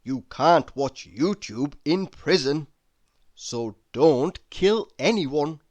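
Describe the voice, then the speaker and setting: A man reading humorous quotes aloud in a deliberate, mock-inspirational manner. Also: fairly high pitch, animated, darkish tone. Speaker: reader.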